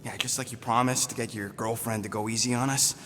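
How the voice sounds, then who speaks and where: An actor playing a small, foul-mouthed, cranky creature mercenary who gripes sarcastically in a video game. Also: male voice, medium pitch, fairly even intonation, quick pace, bright, forceful tone, long reverb tail, slight background noise, lightly acted. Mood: bitter, sarcastic. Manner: sarcastic, griping, brash. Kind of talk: animated game dialogue acting.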